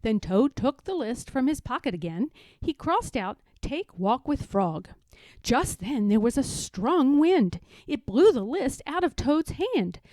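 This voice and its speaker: A woman narrating a children's story as a read-aloud, with expressive, deliberate delivery. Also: high-pitched, dry recording. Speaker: storybook reader.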